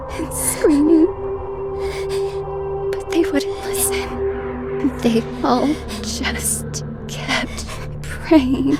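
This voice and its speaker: Horror game role: haunted young girl, high voice, very slow halting breathy delivery, tearful and scared, over music. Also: exaggerated voice. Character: frightened, tormented young girl haunting a convent. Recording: music behind the voice.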